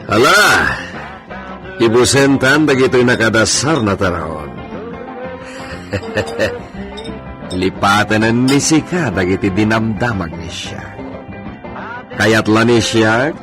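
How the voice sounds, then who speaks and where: A man's voice: fairly low pitch, lively intonation, takes it slowly, long pauses. A man playing a swooping, flirtatious Filipino señor. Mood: pleased, flirtatious. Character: genteel, flirtatious Spanish-styled señor. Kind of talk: comedy radio drama acting. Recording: music bed under the voice.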